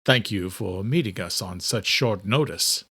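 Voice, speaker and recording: A man's voice: lowish voice, a little slow, sharp tone, breathy edge, no room sound, studio quality, exaggerated voice. A man voicing a curt, regal nobleman in an audiobook, formal and commanding.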